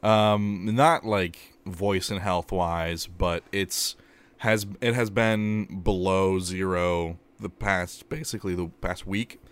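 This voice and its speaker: A man's voice: deep voice, fairly even intonation, takes it slowly, smooth. A man co-hosting a podcast in casual chat, telling rambling everyday stories with lots of ums and likes, and he laughs at himself. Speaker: podcast co-host.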